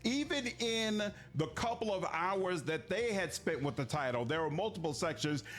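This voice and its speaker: A man giving conversational, explanatory opinion commentary as a video game reviewer, measured but emphatic on key words. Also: sits high, lively intonation, fairly bright tone.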